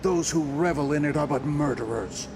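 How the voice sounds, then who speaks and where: A man's voice: fairly high pitch, moderate pace, background noise, close to an everyday voice. A man playing a ruthless, brooding warrior king and father in a fantasy game, with grandiose, solemn, archaic speech. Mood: scornful, disdainful. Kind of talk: dramatic fantasy game voice acting. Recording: music underneath.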